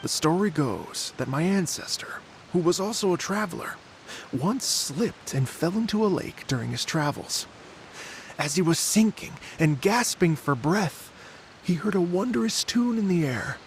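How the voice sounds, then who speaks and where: An actor playing a polite, mild-mannered wandering musician in an anime game, earnest and a little hesitant, creaky at times. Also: male voice, high voice, animated, unhurried, a little hiss or hum, rough recording. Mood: storytelling. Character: genteel, mild-mannered wandering musician, adult man, wistful storyteller.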